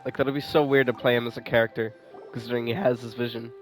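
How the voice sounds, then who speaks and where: A man's voice: mid-range voice, little pitch movement, quick pace, faint music behind. A man giving casual, offhand commentary while streaming a video game.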